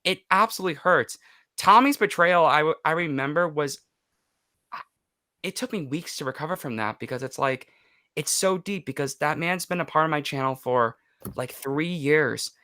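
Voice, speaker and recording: A man's voice: a little high, moderate pace, pushed and bright, dry recording, clean studio sound. A man in his twenties talking candidly as an interview guest, emotional and emphatic, repeating phrases for stress. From United States. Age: 20s. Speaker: podcast guest, YouTuber and voice actor. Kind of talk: candid personal interview chat.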